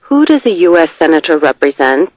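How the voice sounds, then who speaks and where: A narrator reading scripted test questions and short answers in a measured, deliberate way. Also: medium pitch, very animated.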